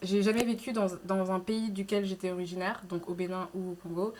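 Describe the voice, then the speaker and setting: A woman in her twenties, a student talking casually in a group discussion, who laughs often and trails off softly. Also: mid-range voice, little pitch movement, fairly quick, a little hiss or hum. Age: 20s. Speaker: student guest.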